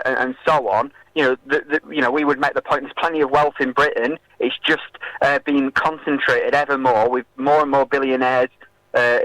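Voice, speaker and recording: Male activist guest for radio political interview; hesitant, stop-start delivery, middling pitch, very dry acoustic. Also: fairly bright tone, dry recording, rough recording. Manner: hesitant, halting with many filled pauses.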